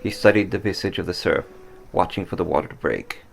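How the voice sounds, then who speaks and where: A man narrating a scripted story dramatically, with solemn, deliberate emphasis. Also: low voice, creaky, slight background noise. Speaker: author reading own story.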